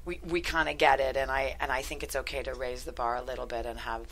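A woman chatting casually as an interviewed screenwriter, often halting and correcting herself. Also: extremely low pitch, level tone, gravelly, fairly bright tone. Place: talk-show studio couch. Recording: faint noise, studio ambience.

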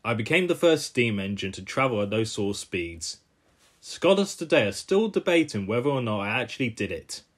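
A man voicing a proud, dignified veteran steam engine telling stories in a measured way. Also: lowish voice, big pitch swings, slightly rough. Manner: measured storytelling.

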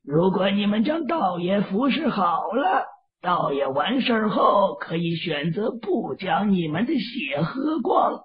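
A man voicing a lecherous, cruel middle-aged male Taoist villain who gloats with drawn-out, sneering menace in a read-aloud audio novel. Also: high-pitched, big pitch swings, slightly rough, pitched above the performer's own voice, exaggerated voice. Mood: threatening. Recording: phone-like, lo-fi, distant sound.

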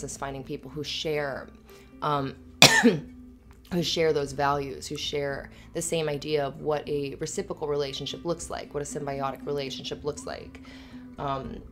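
A low female voice over faint music , a woman acting the part: an affectionate live-in girlfriend in an intimate ASMR roleplay. She is earnest and a little anxious, talking in a conversational, hesitant ramble. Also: fairly even intonation, normal speed, fairly bright tone. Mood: tentative, fond. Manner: rambling, hesitant, giggly asides. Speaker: audio roleplay performer.

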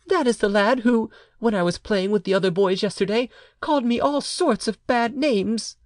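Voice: mid-range voice, normal speed, no echo, studio quality, voice pushed up, natural voice. An audiobook narrator voicing a plaintive boy of about nine in a storybook tale. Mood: excited, complaining.

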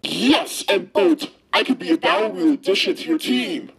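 A performer voicing an excitable, starstruck pet hamster in an amateur cartoon fandub, gushing theatrically. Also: medium pitch, normal speed, vocal fry, slightly rough, exaggerated voice. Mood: boastful. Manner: breathless gushing, theatrical exclamations.

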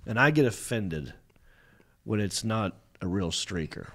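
A man's voice: fairly low pitch, a little slow. A man, hosting a podcast in a studio and telling stories in casual, teasing chat. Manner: animated storytelling, teasing. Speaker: podcast host. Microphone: studio mic on boom.

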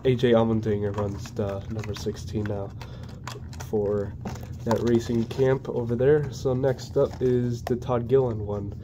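A man's voice: mid-range voice, a little slow. A young man with a cold, chatting casually and hesitantly while unboxing model cars on camera at home. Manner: hesitant, many ums and restarts; flat, even delivery. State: congested from a cold. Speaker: diecast collector reviewing model cars. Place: indoors at home, plain wall. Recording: noisy home recording.

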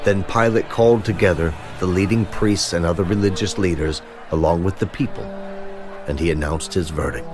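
Male narrator for scripture drama: low pitch, measured storytelling, music underneath.

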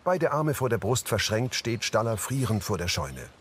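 Low-pitched man narrating audio description. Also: big pitch swings, moderate pace, a bit bright, slight background noise. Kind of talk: scripted scene narration.

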